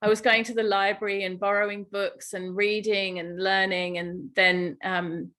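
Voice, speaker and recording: A woman's voice: mid-range voice, little pitch movement, moderate pace, a bit bright. Middle-aged English woman chatting in an interview. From England. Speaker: guest, yoga teacher and Ayurveda guide. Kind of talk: conversational podcast interview, personal storytelling.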